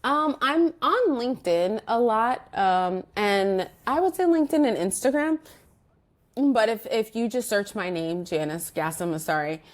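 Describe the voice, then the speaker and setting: A woman's voice: medium pitch, expressive, a little slow. A woman in an interview conversation, talking reflectively in loose sentences with frequent restarts and fillers. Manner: reflective, speaks in loose restarts with many "like" and "you know". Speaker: guest, organizational psychologist.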